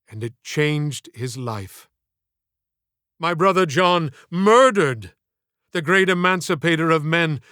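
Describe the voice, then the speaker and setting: A man's voice: a little high, a bit bright, clean tone, dry recording, studio quality. A man voicing an ailing middle-aged stage actor in an audiobook, speaking in an ornate, reflective, wistful way. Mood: grave, searching, then sorrowful, declaiming. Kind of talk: audiobook character dialogue.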